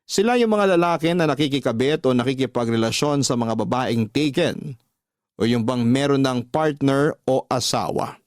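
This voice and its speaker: A man's voice: medium pitch, no echo, clean studio sound, natural voice. An actor playing a heartbroken, self-reflective man who tells his love story in a radio drama. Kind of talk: dramatized first-person scripted radio narration.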